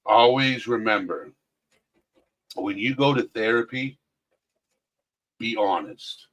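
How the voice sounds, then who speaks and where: Man guest, direct and earnest, low-pitched, repeats key points. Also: takes it slowly, a bit bright, poor audio. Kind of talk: casual chat and advice.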